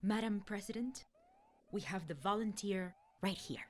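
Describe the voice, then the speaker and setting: A woman's voice: medium pitch, moderate pace, slight background noise, close to an everyday voice. A woman playing an eager, bungling Chief of Staff in a comedic radio play, flustered yet overconfident. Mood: confident.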